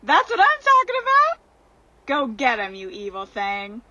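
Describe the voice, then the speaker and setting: A sly, bossy temptress spirit, acted by a woman, in a radio horror play. Her fairly high voice swings widely and stretches words out slowly, emphatic and coaxing. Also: wildly swooping pitch, pushed and bright, full character voice. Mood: urging. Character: sly, bossy seductive female spirit, a frank friend. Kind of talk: radio horror drama acting.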